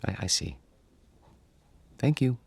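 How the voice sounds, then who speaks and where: An actor in a radio drama playing a timid, lonely grown son who speaks politely, hesitantly and with a stammer. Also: male voice, medium pitch, sing-song, slow pace, gravelly, darkish tone, slightly rough, dry recording, exaggerated voice. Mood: shy, wistful.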